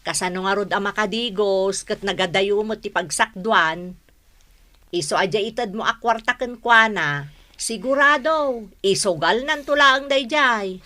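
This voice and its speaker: A woman's voice: medium pitch, a little slow, bright, forceful tone. A woman playing an exasperated, long-suffering wife in a radio drama, earnest, with an Ilocano accent.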